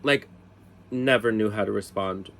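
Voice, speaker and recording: A man in his twenties telling a casual story, chatty, swearing, with lots of "like" fillers and high-voiced impressions of other people. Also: a little slow, fairly bright tone, slight background noise. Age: 20s. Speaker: storyteller vlogger.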